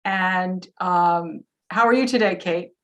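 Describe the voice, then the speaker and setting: A woman's voice: fairly low pitch, slow. A woman hosting a podcast, asking questions in a chatty way with lots of fillers. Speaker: podcast host. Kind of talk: casual interview.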